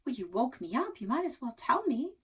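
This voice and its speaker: A woman's voice: high-pitched, very animated, fairly quick, husky, full character voice. A woman voicing a persistent, inquisitive child in a storybook, earnest and probing.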